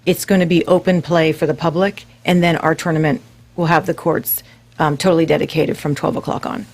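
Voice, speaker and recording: A woman guest on a radio show, explaining her nonprofit work in a composed, clear way. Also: sits low, level tone, brisk, darkish tone. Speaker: guest from real estate nonprofit.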